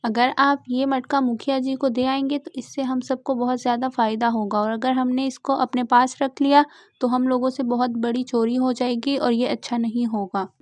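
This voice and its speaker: A woman's voice: high voice, little pitch movement, moderate pace, a little mellow, no room sound, lightly acted. A woman voicing a farmer's honest wife in a cartoon story, firm and principled.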